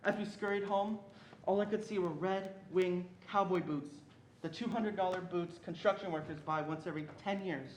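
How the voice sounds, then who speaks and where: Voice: mid-range voice, moderate pace, natural voice. A young performer playing an earnest narrator who recalls a frightened childhood, in scripted stage narration. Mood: uneasy recollection. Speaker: student reader in a reader's theatre performance. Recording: roomy echo with faint background noise.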